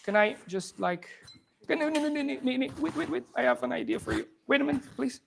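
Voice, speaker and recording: A man from Afghanistan, a software engineer, giving an informal live tech demo to a room audience on a handheld microphone, talking fast with many restarts. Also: very high voice, lively intonation, vocal fry, a little hiss or hum. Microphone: handheld or clip microphone.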